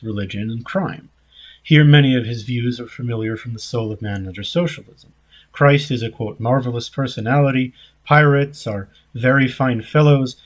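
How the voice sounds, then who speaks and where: Man reading an essay aloud. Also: medium pitch. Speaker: essay reader.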